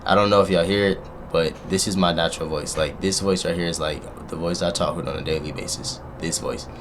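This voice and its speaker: Deep voiced dude playing a game, joking around w the viewers, low-ish tone. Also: male voice, brisk, a little hiss or hum. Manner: animated, joking, talks to the audience. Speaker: gaming YouTuber. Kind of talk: let's play commentary.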